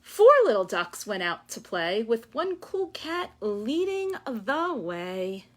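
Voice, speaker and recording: A woman with a fairly high voice runs a storytime for young children. She takes her time with each phrase, as a librarian reading aloud and leading sing-alongs. She addresses the children directly and invites them to join in. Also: sing-song, takes it slowly.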